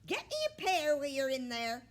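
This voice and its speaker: Squawking, cackling, brash old bird. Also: female voice, sits high, animated, brisk, voice pushed up. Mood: bossy. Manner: snappy, loud, singsong. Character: brash squawking sharp-tongued elderly house bird.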